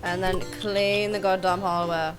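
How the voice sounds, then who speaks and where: A woman's voice: lowish voice, little pitch movement, a little slow, rough recording. A congested woman streaming a game, muttering to herself and swearing casually as she plays. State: snuffly, congested. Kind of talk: casual live gameplay commentary. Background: game music.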